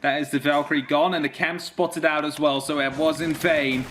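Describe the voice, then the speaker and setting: A man's voice: on the high side, level tone, normal speed, fairly bright tone, a little hiss or hum. A man who is a non-native English speaker, giving live esports play-by-play and casual commentary. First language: not English.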